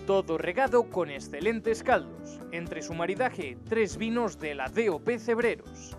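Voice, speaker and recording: A Castilian Spanish woman narrates a scripted promotional TV report. Guitar music plays clearly behind her voice. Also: fairly low pitch, lively intonation, measured pace.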